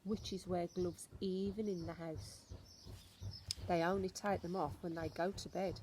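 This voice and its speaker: A woman's voice: lowish voice, fairly even intonation, measured pace, close to an everyday voice. A woman voicing a stern, knowing old grandmother who instructs emphatically and ominously in a storybook reading, with some noise on the recording.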